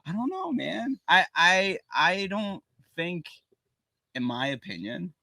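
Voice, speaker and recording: A man's voice: high voice, sing-song, slow, gravelly, sharp tone, dry recording. A man co-hosting a casual soccer podcast from a small studio, sharing opinions he keeps hedging with "in my opinion". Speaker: podcast co-host. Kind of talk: casual sports chat.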